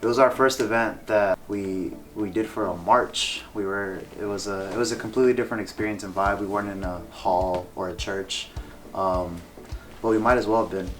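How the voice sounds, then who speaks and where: Young man, 20s, earnest, reflective, lowish voice, background music. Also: steady tone, normal speed. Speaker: band member. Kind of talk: casual chat.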